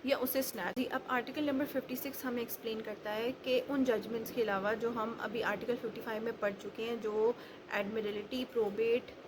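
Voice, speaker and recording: A woman's voice: a little high, fairly even intonation, brisk. A woman giving an explanatory law lecture step by step, mixing in English legal terms. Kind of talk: explanatory lecture with worked examples. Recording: clearly noisy recording with background ambience.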